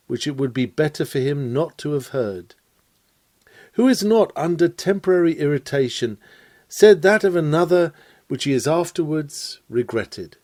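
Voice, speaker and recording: A man reading an old-fashioned sermon lecture aloud in a measured, rhetorical way with emphatic phrasing. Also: fairly high pitch, warm tone, no room sound. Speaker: reader of a recorded sermon lecture. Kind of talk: scripted read-aloud of a Victorian lecture.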